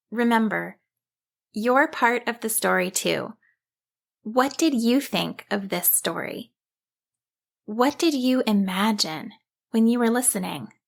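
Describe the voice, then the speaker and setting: A woman reading a scripted children's story as a storyteller, with an expressive, deliberate delivery. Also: mid-range voice, gravelly. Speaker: storyteller, podcast host.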